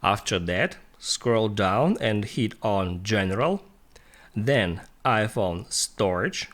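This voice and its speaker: A man who is a non-native English speaker narrates a step-by-step tutorial in a sing-song, halting way. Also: fairly low pitch, lively intonation, a bit bright. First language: not English. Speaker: tutorial presenter.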